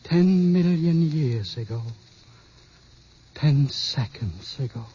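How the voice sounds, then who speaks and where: Casting: grave older doctor in mourning, very measured delivery, muffled vintage radio drama. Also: male voice, fairly high pitch, animated, noisy background. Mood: grieving, solemn. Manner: halting, weighty, dramatic. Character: grave, grieving older male scientist and father. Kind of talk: 1950s radio drama acting. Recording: muffled, humming old radio recording.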